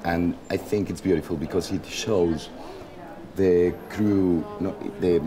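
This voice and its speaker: A man from Mexico with a Mexican Spanish accent, speaking English as a second language in a casual interview and often pausing to search for words. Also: sits low, fairly even intonation, background noise. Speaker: actor, interview guest.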